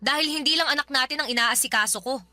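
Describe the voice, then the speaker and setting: A fed-up young wife in a radio drama, high and bright in tone. She complains with sarcasm and a sharp tongue, over faint music. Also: fast, no room sound. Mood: exasperated complaining. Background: soft background music.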